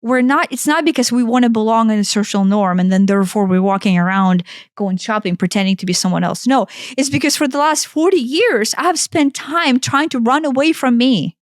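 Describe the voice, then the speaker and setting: Emphatic Ukrainian-accented woman, high voice. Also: brisk, no echo, studio quality. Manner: emphatic, blunt, swears freely. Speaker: podcast co-host. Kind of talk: impassioned self-help talk.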